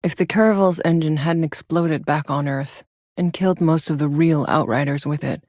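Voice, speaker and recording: A battle-hardened woman soldier of fortune from a video game, speaking in a slightly low voice. She is dry, blunt and sardonic, with a foul-mouthed edge. The sound is clean studio-dry, with no room on it. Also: normal speed, darkish tone, dry recording. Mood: wry, steady. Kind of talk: video game character voice lines.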